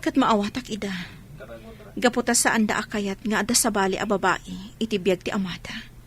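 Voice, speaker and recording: A woman playing a heartbroken, gentle young mother in an Ilocano audio drama, speaking in a sorrowful, pleading way. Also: medium pitch, moderate pace, slight background noise, natural voice. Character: heartbroken, gentle young mother leaving her marital home.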